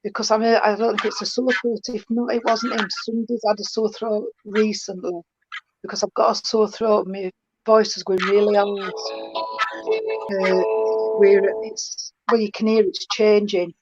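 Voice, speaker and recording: A woman giving a spiritualist mediumship reading, talking warmly and calling the listener darling and sweetheart. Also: mid-range voice, level tone, moderate pace. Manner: affectionate, calls the sitter darling and sweetheart. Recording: dull lo-fi stream with faint noise.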